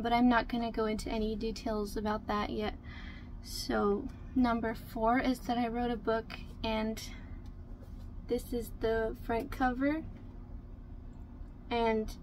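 A young woman in her twenties chats to the camera from a small camper, soft-spoken and easygoing, with lots of ums as she checks her notes. Her voice sits a little high and stays quite even in tone. A faint hiss lies under the close recording. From Canada. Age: 20s. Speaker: vlogger. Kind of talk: casual get-to-know-me talk to camera. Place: small room inside a camper. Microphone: camera in front at close range.

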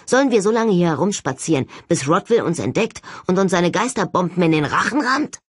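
Audiobook role: sceptical young male ghost hunter played by a narrator, indignant and sarcastic, swinging pitch, husky edge. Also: lively intonation, fairly quick, dry recording, studio quality.

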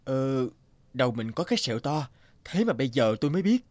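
Kindly young male herb seller voiced by a man, earnest and courteous, animated fairy tale. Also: medium pitch, fairly quick, close to an everyday voice. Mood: tender. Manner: earnest, polite.

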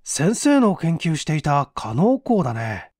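Earnest young male engineer for audiobook, acted by a male reader: brisk, direct, raised pitch, dry sound. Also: big pitch swings, darkish tone, dry recording. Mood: keen. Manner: polite, businesslike, direct.

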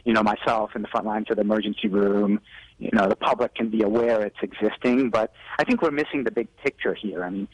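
Low-voiced man, doctor on the phone. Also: fairly quick, no echo, muffled recording. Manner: explanatory, with frequent "you know". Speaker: physician guest expert on a news segment. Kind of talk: live interview, explaining health advice. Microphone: telephone. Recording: telephone interview audio on TV broadcast.

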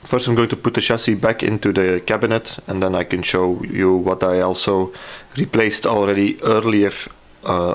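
A man presenting a hands-on restoration casually, hesitant and thinking aloud as he explains each step. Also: fairly low pitch. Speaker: hobby radio restorer presenting a repair. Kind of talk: casual hands-on repair walkthrough.

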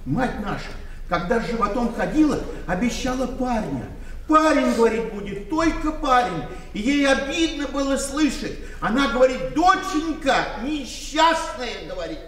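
A man's voice: extremely high pitch, very animated, normal speed, a bit bright, roomy sound, big-room sound, far from the mic, a little hiss or hum, heavily acted, poor audio. An actor playing a confused, rambling old father from the village in a radio play. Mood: plaintive. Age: older. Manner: rambling, plaintive. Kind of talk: 1990 radio play acting.

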